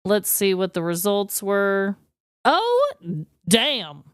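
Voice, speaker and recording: An American woman in her thirties from the Midwest, co-hosting a podcast in an expressive, informal, giggly style. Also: mid-range voice, takes it slowly, smooth, no room sound, clean studio sound. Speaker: podcast co-host. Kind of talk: casual chat.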